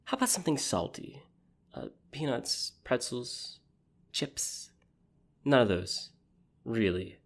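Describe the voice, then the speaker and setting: Flustered, catty jilted man, swooping in pitch, acted. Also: very animated, slow pace, pauses often, full character voice. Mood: pleading. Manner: rambling, incredulous, campy complaining. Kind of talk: theatrical comic monologue.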